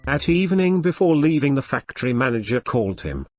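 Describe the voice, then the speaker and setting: A man's voice: mid-range voice, very animated, fairly quick. Male storyteller over faint music. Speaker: narrator. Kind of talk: scripted moral story narration.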